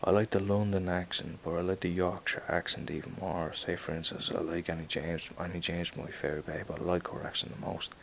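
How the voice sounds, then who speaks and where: A man in his thirties with a Dublin accent, rambling casually and halting over his words in an off-camera vlog. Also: low-pitched, little pitch movement. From Ireland. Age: 30s. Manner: rambling, halting, many restarts. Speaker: vlogger. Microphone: camera mic, filming a screen. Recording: dull lo-fi sound with faint hiss.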